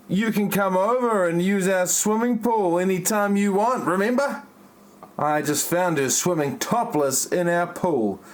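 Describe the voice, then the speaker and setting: Man acting a stilted, slow melodramatic guy. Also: on the high side. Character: awkward melodramatic men of a parody film. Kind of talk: parody audio play acting.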